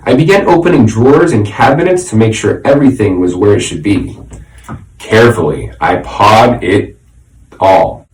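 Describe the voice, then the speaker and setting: A man narrating a children's storybook in an expressive, dramatic way, like a teacher reading aloud. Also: lowish voice, moderate pace. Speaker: reader, teacher. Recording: roomy echo, slightly distant mic.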